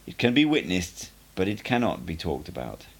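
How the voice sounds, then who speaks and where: A man's voice: low voice, very animated, moderate pace, creaky, slight background noise, full character voice. A man voicing a playful old sorcerer who teaches with patient, lecturing explanations. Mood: explaining. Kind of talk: audiobook character dialogue.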